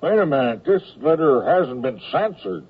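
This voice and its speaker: An actor playing a blunt, laconic army post office clerk in a radio comedy. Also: male voice, mid-range voice, sing-song, moderate pace, slightly rough. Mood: offhand. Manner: laconic, matter-of-fact. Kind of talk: 1970s radio comedy acting. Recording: tinny, phone-like radio recording.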